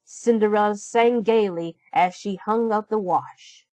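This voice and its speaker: A woman reading a children's storybook aloud as narrator, in an expressive, deliberate storytelling manner. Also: mid-range voice, dry recording. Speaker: storybook reader.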